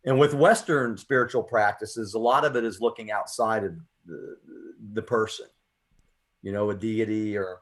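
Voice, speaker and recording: A man's voice: on the low side, fairly even intonation, normal speed. Earnest American man hosting a poetry show. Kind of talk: conversational radio talk and spoken-word reading.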